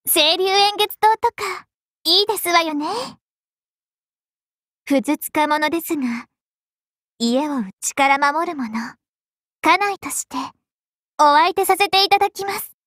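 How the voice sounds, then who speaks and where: A woman's voice: very high voice, expressive, a little slow, long pauses, a bit bright, no echo, studio quality, heavily acted. A woman voicing a lovestruck, clingy young maiden in an anime mobile game, very polite, coy and devoted. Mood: demure, polite.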